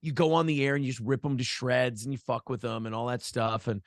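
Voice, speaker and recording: A man's voice: medium pitch, a little fast, no room sound, clean studio sound. An American man chatting casually and profanely as an interview guest, a broadcaster who tells stories by acting out imagined quotes. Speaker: podcast host being interviewed, former radio broadcaster.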